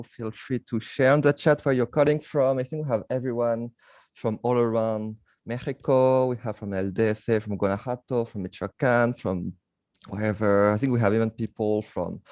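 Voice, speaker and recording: A man from France co-hosting a video call, friendly and relaxed, often laughing. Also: medium pitch, no room sound. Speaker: program co-host. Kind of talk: casual video call chat.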